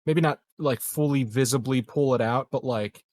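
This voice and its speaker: A man's voice: medium pitch, moderate pace, a little mellow. A man playing a tabletop game, speaking casually in a hesitant, joking way. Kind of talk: casual banter.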